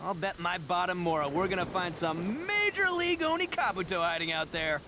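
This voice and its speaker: An actor voicing a boisterous horned oni man, a cocky braggart with loud laughs. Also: sits high, lively intonation, brisk, background noise. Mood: bragging. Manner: boastful, loud laughs, brash. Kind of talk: game voice acting. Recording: game dialogue over music.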